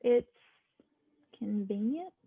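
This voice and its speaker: Phone-like tinny audio, highish voice, puzzled shy young woman, acted by a woman, table read. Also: animated, extremely slow, creaky, slightly rough, full character voice.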